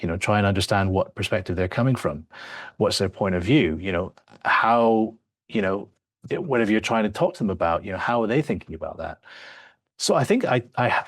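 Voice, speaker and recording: Man, 30s, low voice, reflective guest with a dry close mic and many restarts. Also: no echo. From born in Australia, raised in several countries. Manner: reflective, restarts often, many "you know" fillers. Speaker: filmmaker guest. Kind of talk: conversational podcast interview.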